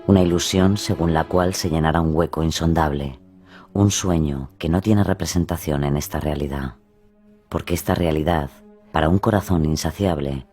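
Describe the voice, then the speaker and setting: A man with a remarkably low voice reads a reflective script. His narration is measured and solemn, with soft music underneath.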